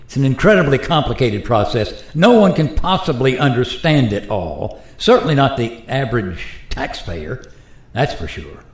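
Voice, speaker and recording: Guy playing an easygoing modern pilot in a radio play, chatty explainer, animated pitch, echoey room. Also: moderate pace, close to an everyday voice. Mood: resigned, explaining. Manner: casual, conversational, explanatory. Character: George, easygoing modern airline pilot explaining today's world. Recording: roomy, echoing sound.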